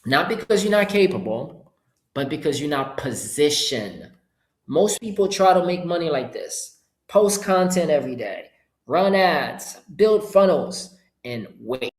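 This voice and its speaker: A middle-aged man from Haiti, a Creole speaker, gives an informal, upbeat motivational webinar pitch in short punchy phrases. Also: big pitch swings, unhurried. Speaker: entrepreneur hosting an online presentation. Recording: roomy, echoing sound.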